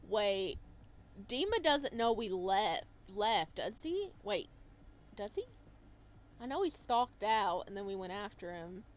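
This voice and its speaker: A woman reading a visual novel aloud as a let's play host, reacting to the story in an animated way. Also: medium pitch, slow pace. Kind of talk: game commentary mixed with read-aloud narration.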